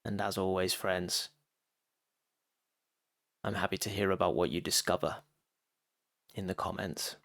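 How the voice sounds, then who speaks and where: Slow, low-voiced man guiding a meditation. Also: fairly even intonation, gravelly, a bit bright, no echo. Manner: deliberate phrasing with frequent pauses, addressing listeners as friends. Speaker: meditation teacher. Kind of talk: guided meditation talk.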